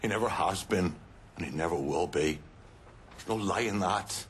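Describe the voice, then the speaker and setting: An actor playing a solemn, resigned man, grave and measured, in a game cutscene. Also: low-pitched, natural voice. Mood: grave resignation. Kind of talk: dramatic game cutscene acting. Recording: faint background noise and ambience.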